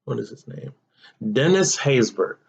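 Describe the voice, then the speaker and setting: Young male podcast host, relaxed and chatty, slow delivery, slightly muffled audio. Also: medium pitch, creaky. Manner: relaxed, conversational, asks listeners directly. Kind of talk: casual solo podcast talk.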